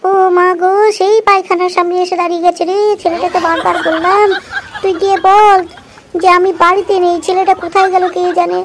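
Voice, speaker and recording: A woman's voice: very high-pitched, little pitch movement, brisk, background noise. A woman voicing a flustered, grumbling, melodramatic village mother in a comedy cartoon, with a whiny delivery. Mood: flustered, defiant.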